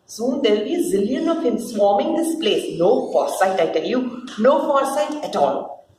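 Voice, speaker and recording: A woman's voice: fairly high pitch, animated, dark tone, natural voice. A woman voicing a scheming, jealous serpent with a sneering, melodramatic manner in a dramatic stage play reading. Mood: scornful. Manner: sneering, melodramatic, repeats words, drawn-out phrases. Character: scheming, jealous, theatrical serpent, mocking fallen angel. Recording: roomy echo with clear background noise.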